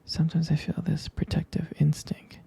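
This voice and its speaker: Male performer as jealous boyfriend, ASMR roleplay, breathy half-whisper, heavy vocal fry, intimate, halting, devoted. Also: on the high side, completely flat delivery, warm tone, exaggerated voice. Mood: uneasy, jealous. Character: jealous, possessive, devoted boyfriend soothing his sweetheart.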